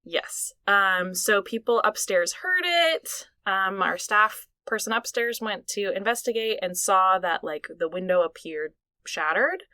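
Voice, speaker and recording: Young woman cohost, chatty n laughy, bright voice, dry booth sound. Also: mid-range voice, animated, unhurried, no room sound. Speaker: podcast co-host. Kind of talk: casual chat. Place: small recording booth.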